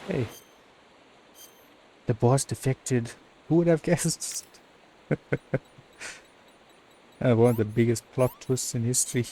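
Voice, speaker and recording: A man giving casual, halting live commentary on a video game. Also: medium pitch, warm tone, slight background noise, poor audio. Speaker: gameplay commentator.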